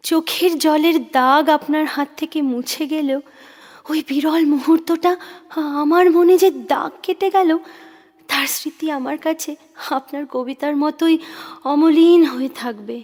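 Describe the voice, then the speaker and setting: A woman's voice: high-pitched, darkish tone. A woman playing an intense, wounded young admirer of a poet in an audio drama, speaking emotionally and poetically. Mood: pleading, tender. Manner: emotional, poetic, deliberate delivery. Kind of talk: Bengali audio drama acting. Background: background music.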